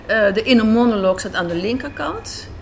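A woman's voice: a little high, lively intonation, moderate pace, creaky. Emphatic Dutch woman in a café interview. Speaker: guest, radio drama writer and director. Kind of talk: conversational interview answers. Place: café, indoors. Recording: faint café background noise. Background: faint café ambience.

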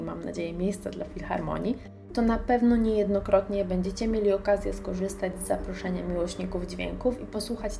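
A woman hosts a radio feature in a mid-pitched voice with noticeable vocal fry. She is enthusiastic and talks straight to her listeners. Music plays clearly behind her. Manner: enthusiastic, addresses listeners directly. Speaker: radio show host.

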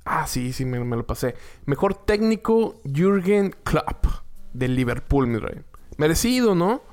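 A man, co-hosting a casual sports chat in an animated, joking way. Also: fairly high pitch, unhurried, faint music behind. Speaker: co-host.

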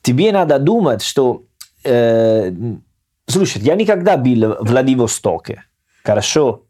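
A man from southern Italy, a native Italian speaker with an Italian accent, talking casually in a learned language with many hesitant pauses. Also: mid-range voice, big pitch swings, dark tone. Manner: hesitant, halting non-native phrasing. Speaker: guest. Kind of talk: casual conversation.

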